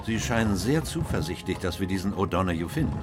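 An actor playing a measured gentlemanly companion who narrates a radio play in a literary manner. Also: male voice, deep voice, very animated, over loud music. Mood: curious.

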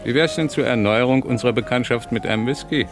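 A man's voice: medium pitch, fast talker, natural voice. A man in a German radio play, acting a smug, insinuating ex-convict with mock courtesy. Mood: smug, taunting. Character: smug, insinuating male ex-convict, mockingly polite. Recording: vintage radio play, music behind.

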